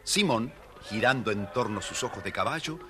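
A man narrating a scripted children's story in a dramatic, expressive way. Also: on the low side, normal speed.

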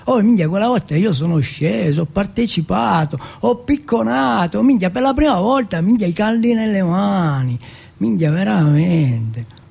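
Man playing a gravelly, excitable Turin street kid. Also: sits high, normal speed, voice pushed up, heavily acted. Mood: excited reminiscing. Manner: slangy, repetitive, swearing at every breath. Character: excitable, foul-mouthed teenage street boy from Turin's projects.

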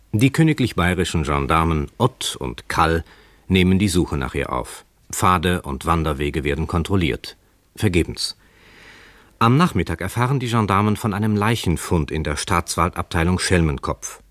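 A man reading scripted narration for a crime drama in a measured, sober and precise manner. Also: sits low, lively intonation, fairly bright tone, dry recording.

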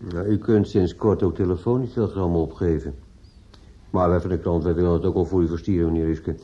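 An actor in a radio drama playing a courteous, businesslike newspaper office clerk with a measured, formal manner. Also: male voice, sits low, fairly even intonation, mellow, natural voice. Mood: polite, businesslike. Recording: dull lo-fi old radio play recording.

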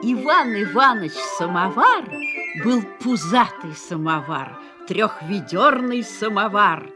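An actor reciting comic children's verse in a playful, rhythmic, deliberate way. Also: male voice, high voice, big pitch swings, over music, full character voice.

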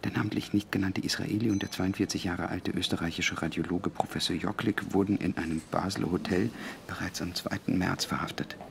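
A man's voice: low-pitched, steady tone, a little fast, gravelly, a little hiss or hum. An actor playing a dry, worldly journalist in a radio drama, muttering as he reads newspaper reports aloud.

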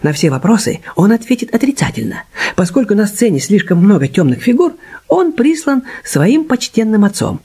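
Radio play detective: shrewd, courteous Chinese sleuth, formal wording, very animated pitch, husky edge. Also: normal speed. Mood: calm, scheming. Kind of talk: radio play acting with narration.

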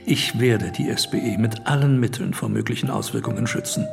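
An actor playing a coolly threatening banker in a radio drama, measured and insinuating. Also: male voice, fairly low pitch, vocal fry, music in the background.

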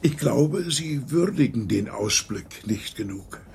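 An actor playing a courteous, effusive gentleman with a formal, gallant manner in a German radio drama. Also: medium pitch, animated, slow, creaky, a little hiss or hum. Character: courteous, effusive gentleman proudly showing off his view.

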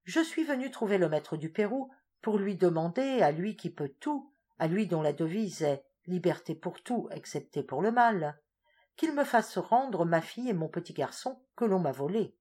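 A woman's voice: fairly low pitch, expressive, moderate pace, warm tone, slightly rough. A woman voicing an aristocratic older marquis in a story, formal and pleading.

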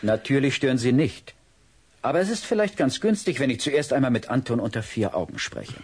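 An actor playing a big, kindly, patient child psychologist in an audio drama, gently probing and explaining. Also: male voice, medium pitch, lively intonation, brisk, husky. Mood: reassuring, probing.

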